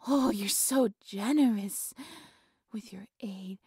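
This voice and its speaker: A woman acts out an obsessive high priestess of a dark god in an audio drama. Her voice is very breathy, soft and often near a whisper, recorded dry and close. She speaks formally, with fawning, reverent devotion. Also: slow pace, dry recording, full character voice. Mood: honored, eager. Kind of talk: breathy audio roleplay acting.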